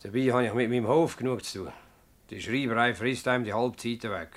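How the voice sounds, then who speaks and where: A mid-pitched male voice with a Swiss German accent , an actor's, plays a farmer who is village mayor in a dialect radio drama. He is self-important and complaining, and justifies himself haltingly. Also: lightly acted. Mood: grumbling.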